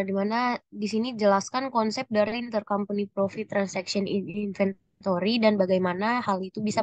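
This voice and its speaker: A young woman reading answers aloud in a student presentation, halting and restarting words as she goes. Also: medium pitch, dry recording. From Indonesia. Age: young adult. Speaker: university accounting student presenting.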